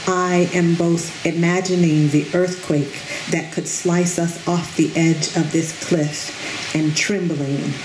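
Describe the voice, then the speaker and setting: A middle-aged woman reading her own poetry from a podium at an outdoor tent stage, in a measured, deliberate way. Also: lowish voice. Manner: slow, measured, deliberate delivery. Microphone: podium microphone on a stand. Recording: roomy sound with steady background noise.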